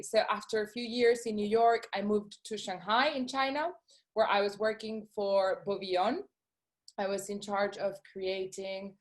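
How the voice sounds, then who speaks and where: A woman presents her design work to schoolchildren over a video call. She sounds eager, pausing on fillers and checking in with "okay?" as she goes. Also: medium pitch. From Venezuela. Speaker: guest speaker, shoe designer.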